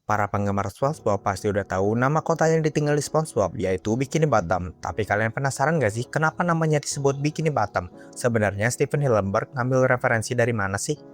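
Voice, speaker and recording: A man's voice: mid-range voice, lively intonation, quick pace. A man narrates stories for online video, casually addressing viewers in slang. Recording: music behind the voice. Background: background music.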